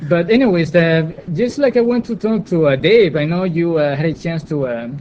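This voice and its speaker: A man's voice: on the high side, normal speed, darkish tone. A man with a foreign accent co-hosting a casual chat, hesitant and full of fillers. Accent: non-native English. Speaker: co-host. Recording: dull lo-fi live broadcast with faint background noise.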